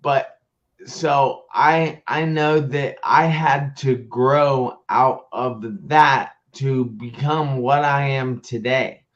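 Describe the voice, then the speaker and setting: A man's voice: medium pitch, fairly even intonation, very slow, dull audio. A man hosting a live online talk show, talking in an upbeat, enthusiastic way. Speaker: host.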